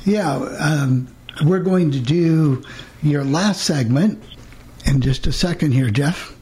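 Man, slightly high voice, slow halting talk, stern co-host, faint noise. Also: a little mellow. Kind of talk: casual panel chat.

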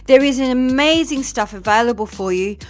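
A woman's voice: sits high, fairly quick. Upbeat woman podcast host. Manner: upbeat, enthusiastic. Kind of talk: scripted intro and interview questions.

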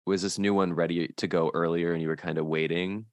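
Man, very low voice, podcast host, rambling friendly questions, dry close sound. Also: steady tone, fairly quick, no room sound. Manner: rambling, hesitant questions with light laughs. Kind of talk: casual interview.

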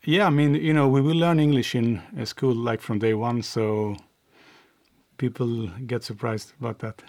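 This is a man with a Swedish accent who hosts a podcast and speaks English as a second language. His pitch sits in the middle of the male range. He talks in a friendly, conversational way, pausing often on fillers. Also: steady tone, darkish tone, no echo. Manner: friendly, hesitant with frequent fillers. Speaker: podcast host.

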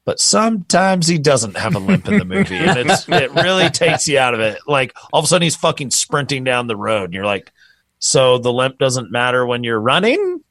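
A relaxed man narrates a true crime tale in a loose, rambling way, swearing as he goes. His voice sits mid-range and sounds dry and close to the mic. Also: medium pitch, normal speed, dry recording. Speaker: podcast co-host telling a true crime story. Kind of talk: casual storytelling chat.

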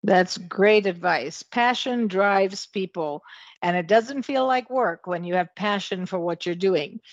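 A woman's voice: mid-range voice, unhurried, dry recording, dull audio. A woman hosts a podcast interview with a mid-range voice, encouraging and affirming her guest. Her sound is dry and close, slightly dulled like a remote call. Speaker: podcast host. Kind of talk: interview hosting.

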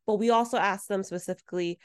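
A woman's voice: medium pitch, steady tone, fast, smooth. A woman co-hosting a podcast, chatting casually and laughing easily. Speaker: podcast co-host.

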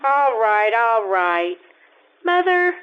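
A very high, bouncing voice of a cheeky kid sister played by a woman. She is blunt, pouty and eager, drawing out her lines in an old-time radio play with a muffled, faintly noisy sound. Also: very animated, slow pace, clear, resonant tone. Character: cheeky young girl, outspoken kid sister. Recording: muffled, noisy live radio stage recording.

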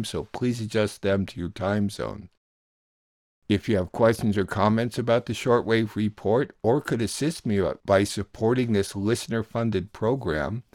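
Low-voiced man hosting a radio show, dry sound. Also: a little mellow, no room sound. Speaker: radio show host and producer. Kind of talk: scripted radio announcing.

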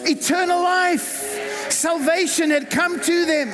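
A South African pastor preaching a fervent sermon, repeating words and calling on the congregation to respond. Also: male voice, very high voice, steady tone, slow. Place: church hall. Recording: reverberant hall with music behind. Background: music.

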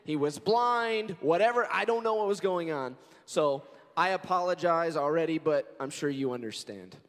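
A man in his forties, a pastor, preaching a sermon in an earnest, conversational way and telling stories, his voice hoarse and scratchy. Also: a little high, expressive, moderate pace. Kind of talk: sermon with prayer and scripture reading. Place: church sanctuary. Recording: long reverb of a large church hall.

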